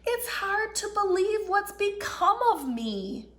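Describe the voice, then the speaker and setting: A woman's voice: extremely high pitch, very animated, takes it slowly, fairly bright tone, voice pushed up, heavily acted. A woman voicing a tiny, timid, earnest little seed in a children's storybook, speaking in plaintive, childlike phrases. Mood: wondering, grateful.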